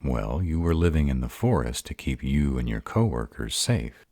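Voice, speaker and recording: A gruff were-dragon in love, acted by a man, speaks in an extremely low, dark voice. He is earnest and easily flustered, hesitating over tender words in an intimate romantic roleplay, with lively swings of pitch. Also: moderate pace, no room sound, clean studio sound, heavily acted. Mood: earnest, caring. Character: gruff, bashful male were-dragon lover in human form. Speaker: voice actor in roleplay audio.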